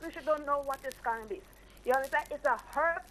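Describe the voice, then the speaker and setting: A woman's voice: sits high, fast talker, fairly bright tone. A woman with a Guyanese accent phoning in to a live show, talking casually and insistently. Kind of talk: casual opinionated talk. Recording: slightly noisy phone call.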